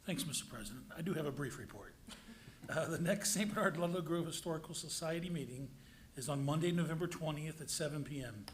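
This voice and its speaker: A man with a higher voice gives a short council report. He speaks casually and rambles a little, with restarts. A faint rumble sits in the background. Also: fast talker. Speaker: council member. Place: council chamber.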